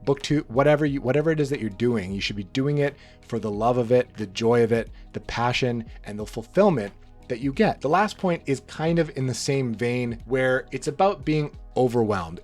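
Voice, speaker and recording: A man hosting a book channel, rambling through a casual monologue, slightly hoarse from being sick. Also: mid-range voice. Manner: relaxed, rambling, frequent restarts and fillers.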